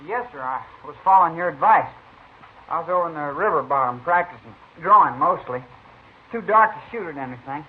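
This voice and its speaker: A man's voice: fairly high pitch, lively intonation, brisk, breathy edge. An actor playing an earnest, anxious young man of the Old West in a 1950s radio drama, polite and defensive. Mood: wary, polite. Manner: polite, defensive, plain-spoken. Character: earnest, anxious young cowboy, about eighteen. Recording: tinny, narrow-band 1950s radio recording.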